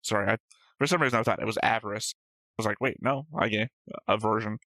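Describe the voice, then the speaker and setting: A man's voice: lowish voice, fast talker, sharp tone, no room sound. A man hosting a reaction show, reading out notes and discussing them casually in a matter-of-fact, slightly pedantic way.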